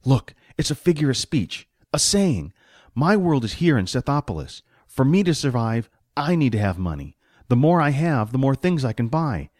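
A man's voice: medium pitch, sing-song, normal speed, a little mellow, no room sound, clean studio sound, lightly acted. A man voicing a pragmatic, money-minded hired hand in a fantasy audiobook, explaining things in impatient colloquial talk.

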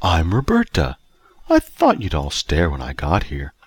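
A man voicing a playful, alluring woman with a husky voice in an audiobook story. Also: low voice, huge pitch swings, a little slow, no echo, exaggerated voice. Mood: playful, teasing. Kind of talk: acted audiobook dialogue.

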